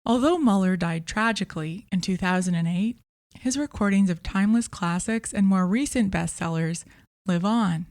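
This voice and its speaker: A woman presenting a scripted podcast review in a measured, clearly phrased way as the host. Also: mid-range voice, a little mellow, no room sound, studio quality.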